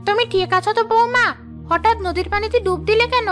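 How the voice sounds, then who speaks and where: A woman playing a weary, motherly older mother-in-law in a village cartoon, gently chiding. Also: very high-pitched, fast, soft background music. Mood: concerned. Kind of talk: cartoon voice acting.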